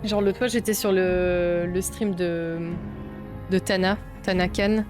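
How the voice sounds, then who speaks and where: Young belgian woman streaming a game, kinda low voice, swears a lot, faint game music behind. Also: steady tone, slow pace. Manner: chatty, swears often, thinks aloud. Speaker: streamer playing a video game. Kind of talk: casual let's-play chat.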